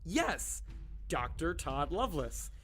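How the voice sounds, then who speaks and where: A woman's voice: low-pitched, big pitch swings, takes it slowly, a bit bright, faint music behind, exaggerated voice. A woman playing an awkward, chatty young male doctor who rambles and pokes fun at himself. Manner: rambling, self-deprecating and chatty. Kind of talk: audio drama acting.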